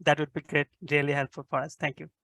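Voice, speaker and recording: A man from Bangladesh joins a video call to ask a question. His voice is fairly high for a man and gravelly, and the sound is dry. He speaks hesitantly, restarting his sentences as he goes. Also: little pitch movement, fast, fairly bright tone, no room sound. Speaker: workshop participant. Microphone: computer mic on a video call.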